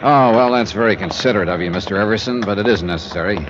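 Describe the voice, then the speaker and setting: An actor playing a confident insurance investigator, breezy, wry and matter-of-fact, in 1950s radio drama style. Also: male voice, lowish voice, quick pace, noisy background, natural voice. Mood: businesslike. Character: brisk, confident male freelance insurance investigator. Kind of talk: 1950s radio drama acting and first-person narration. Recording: muffled old radio recording with music.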